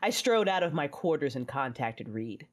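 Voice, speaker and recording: Hardened Sith mother, low voice. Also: very animated, normal speed. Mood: measured, brooding. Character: hardened, ruthless Sith mother recounting her life. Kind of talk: first-person dramatic narration.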